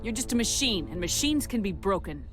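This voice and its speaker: A woman's voice: medium pitch, big pitch swings, a little fast, faint music behind, lightly acted. A woman playing a resolute military commander in a video game, with a firm, terse, challenging delivery. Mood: defiant. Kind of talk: video game hero voice acting.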